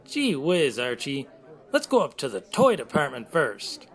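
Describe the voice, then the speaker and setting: Performer as teenage boy sidekick, droll, drawling, unhurried, wildly varied pitch, old-time radio comedy. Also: huge pitch swings. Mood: grumbling. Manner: drawling, gee-whiz exclamations. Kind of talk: 1940s radio comedy acting.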